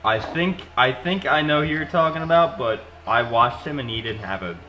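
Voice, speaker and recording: A man's voice: medium pitch, lively intonation, moderate pace, sharp tone, poor audio. A young man chatting casually while playing a video game with friends, joking and stumbling over restarts. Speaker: let's play co-host. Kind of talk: casual gaming chat.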